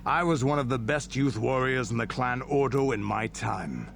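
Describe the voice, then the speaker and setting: An actor playing a grizzled old warrior in a video game, proudly and solemnly recounting battles in a gravelly voice. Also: male voice, medium pitch, animated, moderate pace, a bit bright, soft background music. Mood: proud, reminiscing. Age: older. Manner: solemn, boastful, grand storytelling. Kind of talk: video game character monologue. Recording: game audio with background noise.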